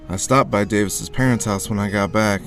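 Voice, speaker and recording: Male actor as hard-boiled veteran narrator, low voice, haunted, deliberate, noir audio drama, music underneath. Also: a bit bright, close to an everyday voice. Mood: somber, haunted. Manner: grim, deliberate first-person storytelling. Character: haunted hard-boiled male war veteran recounting his grim past.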